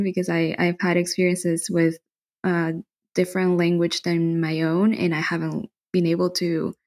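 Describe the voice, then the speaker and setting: Gravelly-voiced woman chatting as a guest. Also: lowish voice. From Bolivia. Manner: relaxed, chatty, with frequent fillers and soft laughs. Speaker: podcast guest. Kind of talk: casual interview chat.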